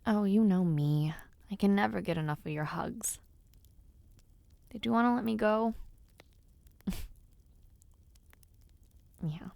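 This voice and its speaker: A woman acts out a young noblewoman in an intimate audio roleplay. She takes her time, with a soft, breathy delivery that often frays into creak. She sounds affectionate and hesitant, close and dry, as if speaking to one dear friend. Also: medium pitch, slow pace, long pauses, no echo. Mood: affectionate, playful. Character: affectionate young noblewoman, playful but nervous before her debut. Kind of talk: intimate audio roleplay acting, addressing the listener.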